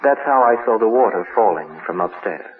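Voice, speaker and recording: A man reading a horror story in a formal, measured, theatrical style through a radio filter. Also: fairly low pitch, expressive. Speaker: horror story host and reader. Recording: tinny old-radio sound, music behind.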